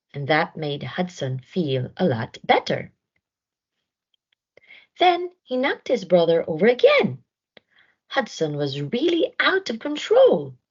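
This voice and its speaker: A woman reading a children's story aloud in an expressive narration style, on a slightly dull recording. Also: sits low, husky. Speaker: storybook reader.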